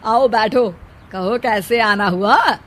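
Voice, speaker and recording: A woman's voice: fairly high pitch, slow, a bit bright. A woman playing a sly, gossipy older neighbour who stirs trouble with a familiar, knowing manner in a cartoon moral story. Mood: sly, egging on. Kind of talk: dramatized Hindi moral story dialogue.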